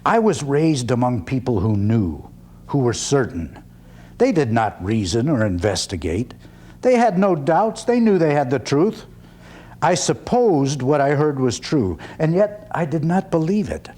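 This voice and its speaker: A man performing a dramatic one-man stage reading as an earnest, skeptical 19th-century orator, with deliberate, emphatic phrasing and pauses. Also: mid-range voice, sing-song, a little mellow. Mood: earnest, recollecting.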